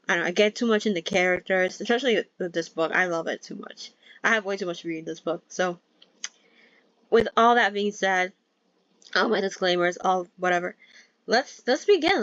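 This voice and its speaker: A woman hosting a book-reading channel chats casually to her viewers, rambling, restarting her sentences and laughing at herself. Her voice is mid-pitched and everyday. The recording is close, dry and a little muffled. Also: dry recording. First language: not Thai. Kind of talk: casual chat to viewers before reading aloud. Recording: dull dry home recording.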